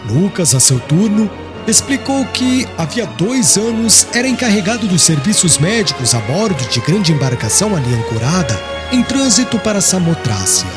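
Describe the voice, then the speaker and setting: Male audiobook narrator, high voice, expressive melodic intonation, solemn literary reading, prominent background music. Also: moderate pace, few pauses.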